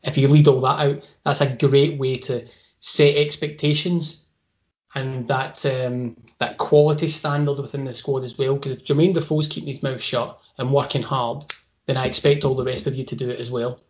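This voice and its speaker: A man's voice: mid-range voice, little pitch movement, normal speed, a little mellow, highs cut off. A man, a guest on a football podcast, talking at length in careful analysis and repeating words as he thinks. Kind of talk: casual football podcast chat.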